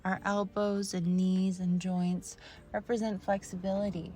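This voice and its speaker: A woman narrating a guided meditation in a soft, soothing manner, with long pauses between short phrases. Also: mid-range voice, little pitch movement. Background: meditation music.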